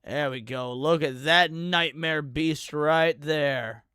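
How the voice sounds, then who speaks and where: A man's voice: a little high, slow. A man hosting a livestream, giving casual, profane reaction commentary with muttered asides and some reading aloud. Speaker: livestreamer host. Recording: music behind the voice.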